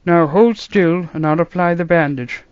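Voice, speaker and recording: A man's voice: a little high, moderate pace, no room sound, muffled recording, pitched above the performer's own voice, close to an everyday voice. A man voicing a fussy, worried elderly doctor who speaks to his granddaughter.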